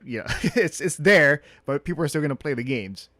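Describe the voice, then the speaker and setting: A man's voice: fairly high pitch, lively intonation, fairly quick, bright, forceful tone, dry recording. A man hosting an interview in casual chat, agreeable and heavy on fillers. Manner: agreeable, frequent fillers and backchannel. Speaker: interviewer, host.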